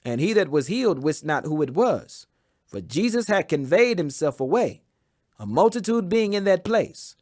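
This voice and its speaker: A man giving a solemn, emphatic and measured dramatic reading of scripture as an audiobook. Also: sits high, big pitch swings, darkish tone, no room sound, clean studio sound. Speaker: Bible reader.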